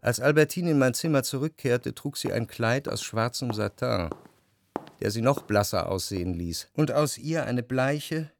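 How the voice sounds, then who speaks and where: An actor playing a jealous, introspective lover who narrates in the first person in a measured, deliberate way, in a literary radio drama. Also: male voice, mid-range voice, lively intonation, gravelly. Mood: wry, reflective. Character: jealous, brooding male lover recounting his obsessions. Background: music.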